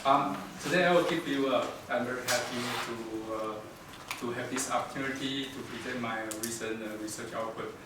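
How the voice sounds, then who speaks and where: A man's voice: mid-range voice, moderate pace. A man from Taiwan giving an academic lecture, hesitant and polite, with many fillers. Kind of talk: academic presentation. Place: lecture room. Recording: echoey room from far off, noisy.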